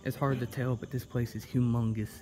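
A young man filming himself exploring a dark abandoned warehouse at night, talking in a hushed, casual way into a handheld tablet. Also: medium pitch, little pitch movement, brisk, over music, rough recording. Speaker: vlogger exploring an abandoned building. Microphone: handheld tablet camera.

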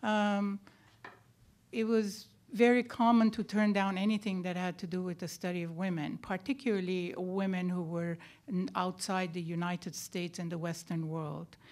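An older woman giving a personal account as an academic panelist, speaking deliberately with emphatic stresses into a table microphone in a lecture room. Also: fairly low pitch, a little mellow. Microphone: microphone on a table stand.

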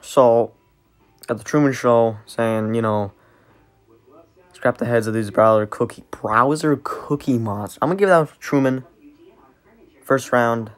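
A man's voice: mid-range voice, a little slow, long pauses. A man hosting a reaction video, chatting casually and repeating lyrics back under his breath.

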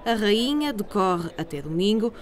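A woman's voice: mid-range voice, lively intonation, measured pace. A woman with a European Portuguese accent reading scripted news narration as a TV reporter.

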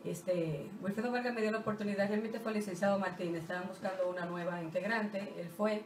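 Middle-aged woman, Dominican Spanish accent, animated, stumbling restarts, roomy radio studio, mic a bit distant. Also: medium pitch. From Dominican Republic. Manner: animated, halting with many restarts. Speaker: singer, interview guest. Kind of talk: casual interview talk. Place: small radio studio with curtain backdrop. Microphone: desk mic on stand, heard from a distance.

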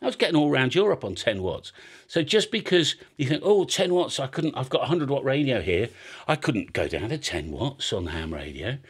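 Animated British man chatting about his hobby. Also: medium pitch, fairly quick, slightly rough. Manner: emphatic, rambling, blunt. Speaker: amateur radio video host. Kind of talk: casual explanatory chat.

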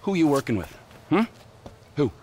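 A man's voice: on the high side, very animated, normal speed, darkish tone, a little hiss or hum. An actor playing a volatile, violent middle-aged criminal in a video game cutscene, swearing and switching between pleading and screaming rage. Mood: furious.

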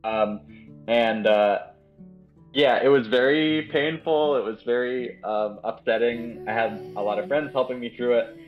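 A man chatting casually as a writer being interviewed, halting and repeating his words. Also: medium pitch, fairly even intonation, soft background music. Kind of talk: casual chat. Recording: dull lo-fi voice call sound.

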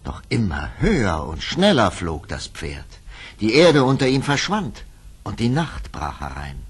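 A man's voice: on the low side, wildly swooping pitch, measured pace, husky. A man narrating a fairy-tale radio play in a scripted, dramatic storytelling manner.

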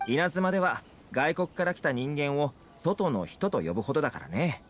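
A man's voice: on the high side, big pitch swings, normal speed, a little hiss or hum. A man voicing a friendly, easygoing young guide in an anime game, explaining things in a casual, affable way. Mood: explanatory. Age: young adult.

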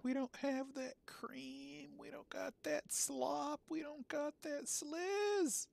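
A man putting on a squeaky, silly, cartoonish character with a drawn-out sing-song delivery. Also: fairly even intonation, gravelly, heavily acted. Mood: goofy.

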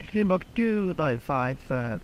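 High-voiced male air traffic controller. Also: animated. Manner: formal, halting, repeats phrases. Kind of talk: procedural air traffic radio communication. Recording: archival air traffic radio with faint noise.

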